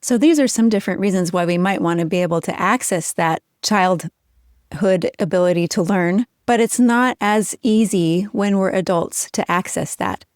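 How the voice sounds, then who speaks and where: A middle-aged woman hosting a podcast, explaining ideas in a clear, measured teaching tone. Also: medium pitch, a little mellow, dry recording, clean studio sound. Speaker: podcast host.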